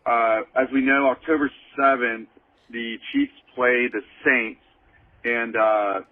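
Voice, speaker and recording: A man leaving a recorded voicemail question for a podcast, friendly and a bit halting with "uh" fillers. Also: mid-range voice, expressive. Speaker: voicemail caller. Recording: tinny phone voicemail with faint hiss.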